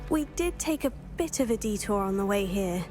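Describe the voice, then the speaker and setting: Actress as a polite, mid-pitched schoolgirl witch. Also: expressive, normal speed, a little mellow, soft background music, close to an everyday voice. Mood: polite, discreet. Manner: polite, understated. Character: polite, discreet teenage girl student at wizard school. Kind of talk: video game cutscene acting.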